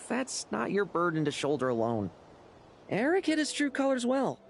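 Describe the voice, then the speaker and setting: A man's voice: high voice, huge pitch swings, unhurried, vocal fry, a little hiss or hum, exaggerated voice. A man playing a cocky, teasing, irreverent younger warrior in a video game, with a breezy, wry delivery. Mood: reassuring, bitter. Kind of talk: video game character acting.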